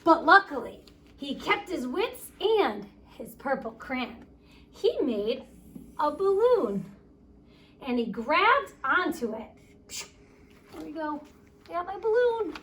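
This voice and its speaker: A woman reading a picture book aloud to children in an expressive, playful storytelling way, with chatty asides. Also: high voice, takes it slowly, pauses often, slight background noise. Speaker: story time reader.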